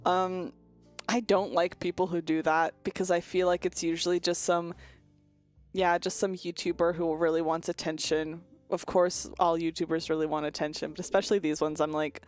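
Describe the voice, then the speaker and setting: A woman's voice: fairly low pitch, little pitch movement, moderate pace, faint music behind. A young woman streaming, chatting casually and candidly with viewers, rambling with lots of "like" and "um" and some swearing. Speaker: VTuber streamer. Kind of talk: casual chat answering viewer questions.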